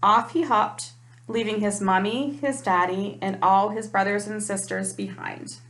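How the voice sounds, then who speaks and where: Woman, mid-pitched voice, children's storybook reading, roomy echo. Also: steady tone, a little slow, fairly bright tone. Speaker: storytime reader, parent. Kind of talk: children's picture book read aloud. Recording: echoey room.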